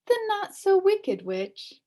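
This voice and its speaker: A woman voicing a pleasant old lady witch in a storybook, small-voiced, gentle and casual. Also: very high voice, very animated, slow, voice pushed up, exaggerated voice. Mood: kindly, serene.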